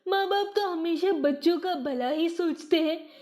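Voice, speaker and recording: An elderly mother in a cartoon moral story, voiced by a woman narrator. She is a pleading, peacemaking wife with a very high voice and slow, careful delivery. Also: darkish tone. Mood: gentle, insistent.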